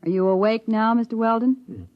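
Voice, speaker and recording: An actress playing a cool, businesslike young interviewer with clipped, controlled delivery in 1950s radio drama. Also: female voice, on the high side, normal speed, close to an everyday voice. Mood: cool, knowing. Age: young adult. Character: cool, brisk young woman interviewer, quietly menacing. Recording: muffled vintage radio recording.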